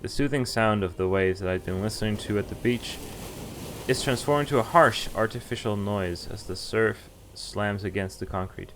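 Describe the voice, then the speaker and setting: A man streaming a game, reading a visual novel aloud in expressive first person with casual, offhand asides. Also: lowish voice, normal speed, background noise. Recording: music behind the voice. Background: game music.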